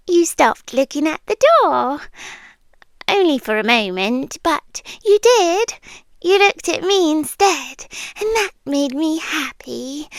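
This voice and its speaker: High, slow, whispery British yandere girlfriend, acted by a woman. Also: dry recording, exaggerated voice. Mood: tender, possessive. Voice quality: soft, breathy, often dropping into whisper. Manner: slow, deliberate, lingering pauses. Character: possessive, unsettling yandere demi-human girlfriend, tender yet menacing. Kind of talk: ASMR roleplay, slow intimate delivery. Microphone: close microphone, dry.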